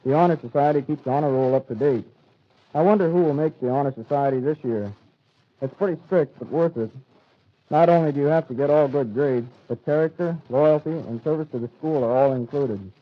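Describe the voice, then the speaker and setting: A teenage American student reporter reading scripted news stiffly on an old lo-fi 1940s radio broadcast. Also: male voice, mid-range voice, brisk. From United States. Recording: dull lo-fi 1940s radio recording.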